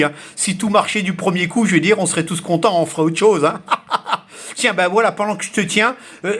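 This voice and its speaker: Higher-pitched man chatting on ham radio. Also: fairly bright tone. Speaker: amateur radio operator. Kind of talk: casual radio contact chat, reciting callsigns phonetically.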